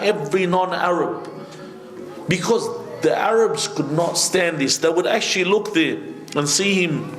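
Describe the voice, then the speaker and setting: A middle-aged man giving a conversational sermon from a seated position, speaking into a stand microphone with lively gestures and asides. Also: fairly high pitch. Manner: animated, conversational preaching with many asides, gesturing. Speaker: sheikh giving a majlis lecture. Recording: echoey hall.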